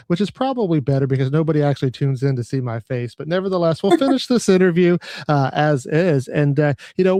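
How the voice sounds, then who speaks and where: A man hosting a podcast interview in casual chat, often stammering, repeating words and filling pauses. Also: a little high, dark tone, no room sound. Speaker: podcast host.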